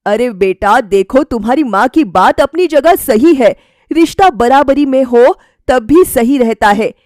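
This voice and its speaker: A woman's voice: high voice, lively intonation, measured pace, darkish tone, no echo, clean studio sound. A woman voicing a middle-aged father in a cartoon story, reasonable and firm as he gives advice. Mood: admonishing.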